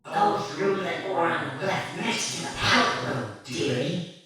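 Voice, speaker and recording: A commanding elderly aunt, put on by a man in a ghost story. The voice is raised in pitch, sharp and old-fashioned, and rings with heavy echo. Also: animated, unhurried. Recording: heavily echoing.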